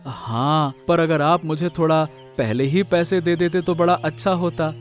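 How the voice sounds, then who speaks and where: Male actor as anxious devoted servant, higher pitch, respectful hesitant speech, cartoon drama, light music. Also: measured pace, close to an everyday voice. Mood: worried, respectful. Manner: deferential, hesitant. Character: humble, worried village servant man, devoted son. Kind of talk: Hindi cartoon family drama.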